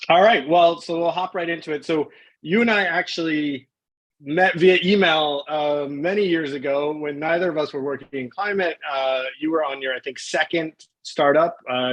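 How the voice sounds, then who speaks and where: A man's voice: on the high side, fairly even intonation, normal speed, a bit bright, low-quality recording. A man hosting a live online interview on a video call, friendly and informal as he introduces his guest. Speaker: host, webinar moderator.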